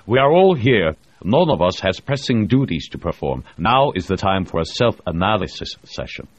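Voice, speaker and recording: A man's voice: deep voice, sing-song, moderate pace, no echo, dull audio, voice pushed up. A man voicing a formal, stern Russian male commander with a Russian accent.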